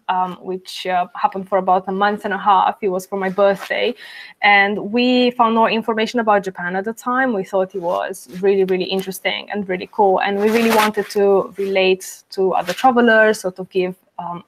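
Romanian-born woman in her 20s on a podcast over a video call, friendly, says um a lot, mid pitch. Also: a little hiss or hum. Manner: friendly, many um fillers, laughs lightly. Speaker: podcast guest, travel blogger. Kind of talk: podcast interview.

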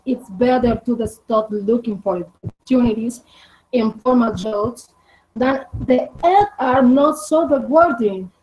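A Colombian woman in her twenties with a Spanish accent, answering interview questions in halting English with many fillers. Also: fairly high pitch, mellow. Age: 20s. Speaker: podcast guest. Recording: dull lo-fi audio.

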